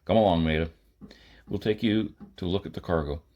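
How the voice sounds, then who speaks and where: A man voicing a gruff, no-nonsense spaceman with a curt, matter-of-fact delivery in an audiobook. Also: low-pitched, a little fast, a little mellow, dull audio. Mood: gruff, brusque.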